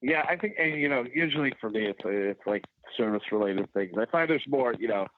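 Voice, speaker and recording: A man's voice: medium pitch, lively intonation, a little fast, no room sound. A man, a chef and competition finalist, telling stories in a rambling way on a podcast and leaning on "like" constantly. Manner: rambling storyteller, heavy use of "like". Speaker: chef, show finalist guest. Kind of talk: casual podcast interview. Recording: tinny phone-like line.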